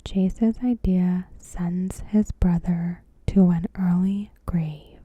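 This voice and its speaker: A woman ASMR host giving commentary in a hushed, whispery, breathy voice, in measured short phrases. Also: lowish voice, steady tone, dry recording. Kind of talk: ASMR, softly spoken TV episode commentary.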